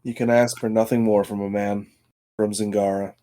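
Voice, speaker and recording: A man's voice: fairly low pitch, flat delivery, normal speed, dark tone. A man talking casually as a tabletop game player, with a dry, wry manner.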